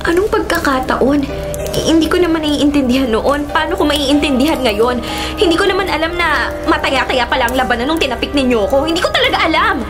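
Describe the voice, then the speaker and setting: High-voiced, frightened young vlogger. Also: female voice, animated, brisk, music in the background. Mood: pleading. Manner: breathless, pleading, panicky. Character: frightened young woman travel vlogger haunted by a curse. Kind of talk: radio drama acting.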